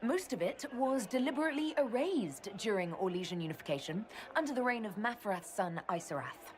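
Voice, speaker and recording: A woman's voice: medium pitch, lively intonation, brisk, close to an everyday voice. A woman playing a composed, scholarly female mage leader in a video game, speaking in an articulate, polished way. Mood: chatty, informative. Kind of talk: video game dialogue acting.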